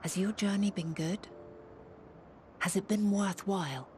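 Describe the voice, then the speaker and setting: An actress playing a wise ancient woman scholar, speaking slowly and solemnly with archaic phrasing in a game cutscene. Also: medium pitch, a little mellow, a little hiss or hum, lightly acted. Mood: reverent. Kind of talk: voiced game cutscene dialogue. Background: orchestral game score.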